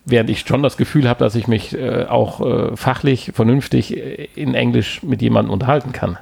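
A man's voice: medium pitch, a little fast, a little mellow, no room sound. An older man co-hosting a podcast in casual chat, hesitant with frequent fillers and chuckles. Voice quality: gravelly, husky. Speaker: podcast co-host.

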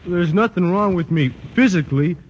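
An actor playing a slow-witted, ungrammatical high school athlete in an old radio comedy. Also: male voice, slight background noise, close to an everyday voice. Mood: earnest. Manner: dim, halting, ungrammatical. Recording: dull old radio broadcast.

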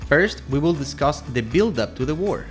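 Lively-voiced man reading a history podcast. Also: fairly high pitch, normal speed, faint music behind. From Ecuador. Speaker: podcast co-host. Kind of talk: scripted history podcast narration.

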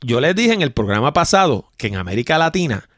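A man's voice: medium pitch, very animated, fast talker, fairly bright tone, no room sound, clean studio sound. A man with a Puerto Rican accent hosting a solo opinion show, talking straight to his listeners and often asking them rhetorical questions. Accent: Puerto Rican Spanish. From Puerto Rico. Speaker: podcast host.

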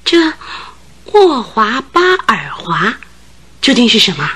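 Husky, high-pitched nervous landlady. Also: big pitch swings, slow pace, fairly bright tone, full character voice. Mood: puzzled. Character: nervous, talkative landlady, earnest and puzzled. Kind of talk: radio drama acting.

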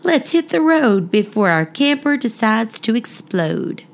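A woman voicing a brisk, good-humoured storybook dad who rallies his family with emphatic, deliberate announcements. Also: on the high side, sing-song. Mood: brisk, rallying.

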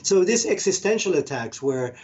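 A man's voice: high-pitched, big pitch swings, moderate pace, darkish tone, slightly rough. A middle-aged man from Argentina telling his own story reflectively as an interview guest, often restarting as he thinks. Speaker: podcast guest, meditation teacher and professor. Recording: slightly dull, band-limited sound.

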